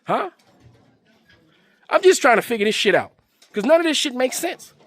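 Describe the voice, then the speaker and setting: A man's voice: very high voice, very animated, moderate pace, a bit bright, no echo. A man hosting a commentary channel, confrontational and slangy, firing off rhetorical questions. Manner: slangy, profane. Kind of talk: rant-style commentary.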